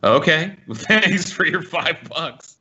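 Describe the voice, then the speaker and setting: A man's voice: on the high side, expressive, slow, sharp tone, husky, muffled recording. An American man co-hosting a podcast with casual, blunt, sweary political banter. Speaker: podcast co-host.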